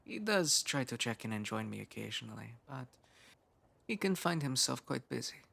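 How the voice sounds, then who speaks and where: A man voicing a driven, earnest young male scientist of poor roots in an intimate ASMR roleplay monologue, musing in measured phrases with thoughtful pauses. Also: mid-range voice, big pitch swings, vocal fry, bright, forceful tone, exaggerated voice. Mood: wry.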